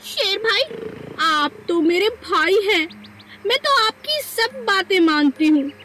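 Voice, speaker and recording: A woman voicing a sly female fox for an animated story, flattering and wheedling in a persuasive, treacherous way. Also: extremely high pitch, takes it slowly, slight background noise, voice pushed up, heavily acted. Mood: coaxing, scheming. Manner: wheedling, persuasive, repeats pleas.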